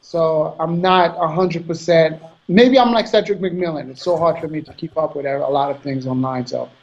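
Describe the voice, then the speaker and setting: A man in his thirties from Haiti answering interview questions in a soft, hesitant way, often repeating words. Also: on the high side, normal speed, dull audio. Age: 30s. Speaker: guest bodybuilder.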